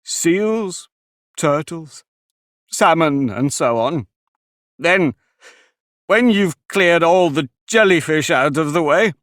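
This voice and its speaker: A man voicing a weepy, melancholy male sea creature who talks slowly and mournfully. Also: fairly high pitch, expressive, a bit bright, no echo, clean studio sound, pitched above the performer's own voice. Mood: excited. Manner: slow, drawn-out and mournful.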